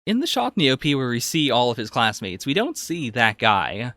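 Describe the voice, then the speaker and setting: A man's voice: medium pitch, huge pitch swings, a little fast, a bit bright, no room sound. A man co-hosting a podcast, casually discussing anime and thinking aloud as he analyses it. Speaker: podcast co-host.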